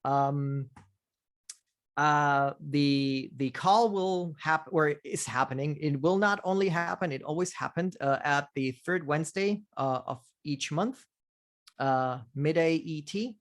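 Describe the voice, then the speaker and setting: Man, host, casual technical Q&A, halting, lots of ums and restarts. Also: fairly high pitch. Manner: halting, many fillers and restarts. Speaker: host of community office hours.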